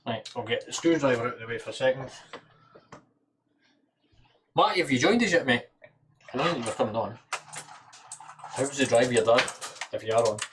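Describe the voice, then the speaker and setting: A man's voice: mid-range voice, normal speed, pauses often, noisy background. A Scottish man hosting a casual livestream, chatting informally and thinking aloud as he works. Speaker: livestream host. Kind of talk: casual livestream chat while working on PC parts.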